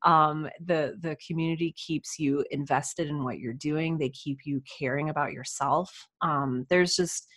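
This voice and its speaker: Woman on a podcast, pretty low voice, chatty writer telling stories casually. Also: measured pace, hardly any pauses. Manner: animated, chatty, self-quoting storytelling. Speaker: podcast guest, writer and audio drama creator. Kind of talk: casual interview chat. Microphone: USB desktop microphone.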